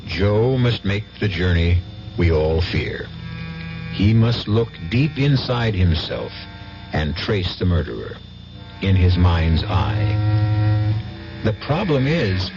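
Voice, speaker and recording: A man hosting a radio mystery drama with measured, theatrical, ominous scripted narration. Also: low voice, sing-song, music in the background. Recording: dull old radio recording.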